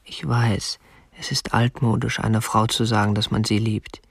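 An actor in a radio play as an awkward, obsessive, prim young kidnapper, speaking and narrating in a stiff, restrained way with slight huskiness. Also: male voice, lowish voice, level tone, moderate pace, creaky, breathy edge, no room sound. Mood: brooding, confessional. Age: young adult. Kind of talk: radio drama acting, first-person narration and dialogue.

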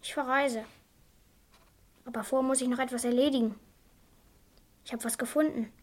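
A woman's voice: high-pitched, fairly even intonation, moderate pace. A woman playing a cool, stubborn young girl in a German radio crime drama, speaking tersely and matter-of-factly.

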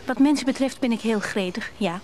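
A woman's voice: fairly high pitch, brisk, a little mellow, close to an everyday voice. An actress playing an eager, clingy, slightly spoiled woman in a radio play, direct and pleading. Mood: coy, curious. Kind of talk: naturalistic Dutch radio drama acting.

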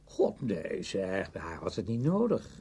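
A man's voice: sits low, huge pitch swings, a little slow, creaky, dark tone, husky, heavily acted. An actor playing a brash, self-satisfied orchestra conductor in a Dutch radio drama, blunt and offhand in his talk. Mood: breezy, smug.

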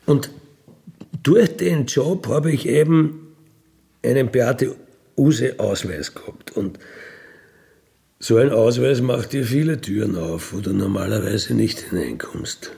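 A man's voice: medium pitch, slow, creaky, long pauses, warm tone. A middle-aged man with an Austrian accent, speaking in a candid, conversational monologue, matter-of-fact and often checking in with short tag questions. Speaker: ex-convict burglar telling his life.

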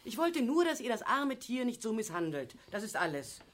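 An actress in a radio play as a middle-aged mother, soft-hearted and practical, caring yet protesting in her own defence. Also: fairly high pitch, sing-song, normal speed. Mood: defensive. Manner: caring, matter-of-fact, protesting. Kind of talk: naturalistic radio play acting.

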